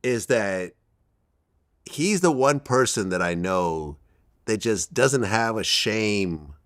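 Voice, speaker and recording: A man in his fifties, a guest in a candid interview, telling stories bluntly and dropping his voice low for emphasis. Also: slow pace, no echo, clean studio sound. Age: 50s. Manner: blunt, animated storyteller who drops his voice low for emphasis.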